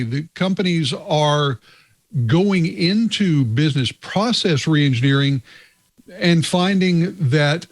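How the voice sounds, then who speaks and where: Guy hosting a tech show, slightly high voice, talks real slow and careful. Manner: measured, deliberate questioning. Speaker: podcast host.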